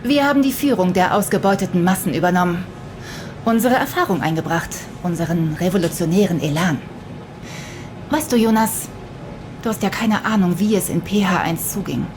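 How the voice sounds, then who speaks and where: A woman's voice: lowish voice, expressive, normal speed, lightly acted. Actress as assertive young revolutionary woman, noisy backdrop. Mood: fervent. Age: young adult. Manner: assertive, declamatory, talkative. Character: Mira, young female urban guerrilla, bossy, fervent, chatty revolutionary. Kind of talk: German sci-fi radio drama dialogue.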